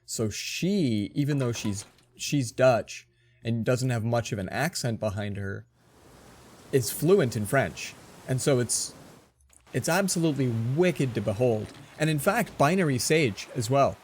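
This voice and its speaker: Man, forties, let's play host, chatty, rambling, mid-pitched voice, faint hum behind. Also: animated, unhurried, a little mellow. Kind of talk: casual gameplay commentary.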